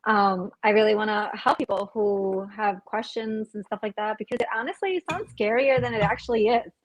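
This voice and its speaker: A woman's voice: medium pitch, normal speed, dry recording, poor audio. Upbeat young woman from Puerto Rico. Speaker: guest, boutique owner. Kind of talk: casual interview chat.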